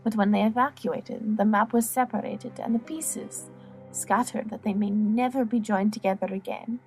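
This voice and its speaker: A woman playing an alluring, worldly courtesan who tells tales in a soft, measured, theatrical way for an audiobook drama. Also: medium pitch, soft background music, natural voice, rough recording. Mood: hushed storytelling. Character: alluring young female courtesan telling legends, worldly and teasing.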